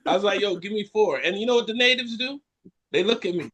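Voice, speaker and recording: A man's voice: sits high, quick pace, rough recording. A man with a Jamaican accent, co-hosting a casual podcast chat, joking and acting out quotes as he tells stories. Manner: animated storyteller, joking, acts out quotes. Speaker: podcast co-host.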